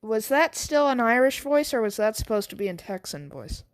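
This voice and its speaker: A woman voicing a laid-back teenage schoolboy in a story, casual and calmly defiant in an everyday voice. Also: fairly high pitch, normal speed, no room sound.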